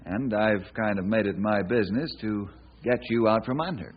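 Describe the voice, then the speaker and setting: An actor playing a steady, kindly ranger in an old-time radio western, speaking in an earnest, folksy, persuasive way. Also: male voice, fairly low pitch, normal speed, slightly rough. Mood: earnest, calm. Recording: dull, lo-fi old radio recording.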